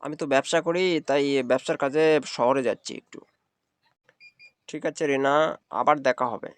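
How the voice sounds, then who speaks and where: A man's voice: medium pitch, steady tone, normal speed, smooth, no echo, natural voice. A man playing a courteous, earnest young village businessman in a cartoon. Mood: friendly. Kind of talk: cartoon dialogue.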